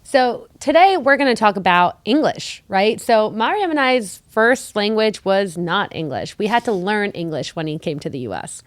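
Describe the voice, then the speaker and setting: A young woman from Mexico with a mid-range voice, co-hosting a podcast in casual, chatty talk. She tells her stories in an animated way. The sound is close and dry. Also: medium pitch, normal speed, hardly any pauses, no echo. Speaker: podcast co-host. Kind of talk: casual chat.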